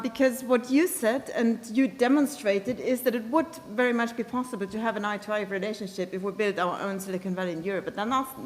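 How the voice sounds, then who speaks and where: A woman moderating a panel discussion, framing questions in a halting way with many fillers. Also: mid-range voice. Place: conference hall. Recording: long hall reverb, faint noise.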